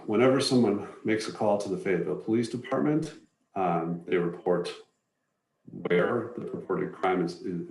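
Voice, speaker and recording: A man giving an informational slide presentation to residents in a measured, matter-of-fact, explanatory way. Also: low voice, darkish tone. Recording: roomy echo, distant from microphone.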